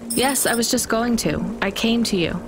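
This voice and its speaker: A woman's voice: on the high side, normal speed, noisy background, close to an everyday voice. A woman playing a young courier driver in a video game, with a dry, skeptical, understated delivery. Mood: awkward. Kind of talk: naturalistic scripted game acting.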